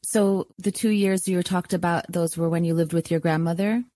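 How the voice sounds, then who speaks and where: A woman's voice: lowish voice, steady tone, moderate pace, dark tone, dry recording. A woman hosting a podcast, interviewing a guest in a conversational style. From United States and Syria. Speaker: podcast host.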